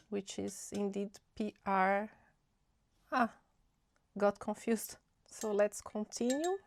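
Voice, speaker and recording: A young woman talks slowly through a relaxed painting tutorial, her voice nasal from a cold and edged with vocal fry. She thinks aloud in a chatty, easygoing way. The recording is close and dry. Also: medium pitch, no echo. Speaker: art channel host. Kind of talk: casual watercolor swatching tutorial.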